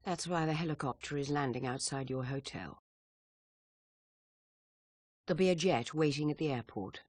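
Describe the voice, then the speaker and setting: A man playing a grave senior intelligence chief in a video game cutscene, speaking in a formal, measured, authoritative way with a husky voice. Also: fairly high pitch, animated, no echo, studio quality. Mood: grave, businesslike.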